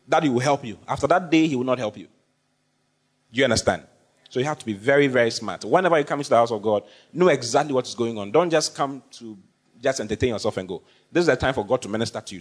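A man's voice: medium pitch, breathy edge. A man preaching a sermon, repeating phrases for emphasis and questioning his congregation. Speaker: pastor.

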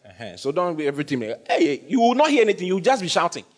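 A male pastor delivers a sermon, his voice sitting a little high and swinging widely in pitch. He drives points home by repeating lines and asking the congregation questions. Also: sing-song, very fast. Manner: call-and-response with congregation, repeats phrases for emphasis.

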